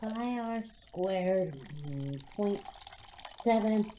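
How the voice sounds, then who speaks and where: A woman's voice: medium pitch, lively intonation, very slow, warm tone, slight background noise. A woman playing an eager young girl in an amateur radio drama, chirpy and matter-of-fact.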